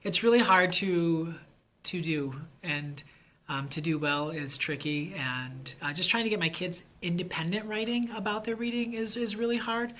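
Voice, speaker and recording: A man's voice: sits high, normal speed, sharp tone. A man who teaches fifth grade, chatting casually and enthusiastically with lots of fillers. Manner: enthusiastic, rambling with many fillers. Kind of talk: casual vlog chat.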